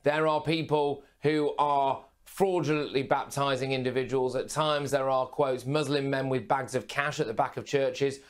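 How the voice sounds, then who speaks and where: A young man giving brisk, emphatic news commentary as a guest presenter from a remote studio. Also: medium pitch, steady tone, smooth. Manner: brisk, emphatic, punchy phrasing. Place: remote studio with city view.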